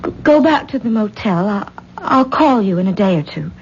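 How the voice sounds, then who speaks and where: A woman's voice: mid-range voice, lively intonation, normal speed, natural voice. An actress playing a torn, anxious woman in a 1970s radio drama, hesitant and stammering. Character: torn, anxious woman choosing between two men. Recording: muffled, noisy 1970s radio recording.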